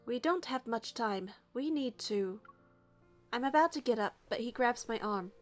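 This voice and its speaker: A woman reading a game's story aloud as narration in an expressive way. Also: on the high side, normal speed. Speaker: player narrating a game playthrough. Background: music.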